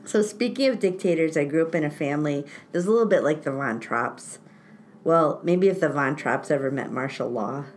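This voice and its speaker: Low-voiced wry woman storyteller. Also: moderate pace, a little hiss or hum. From born in Italy, grew up in France. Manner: wry, self-mocking, laughs often. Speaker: podcast host telling personal stories. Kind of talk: casual storytelling chat.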